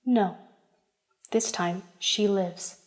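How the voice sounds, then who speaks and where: A woman playing a defiant, quick-witted young woman in an audio drama, emphatic and taunting. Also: medium pitch. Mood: cold, challenging.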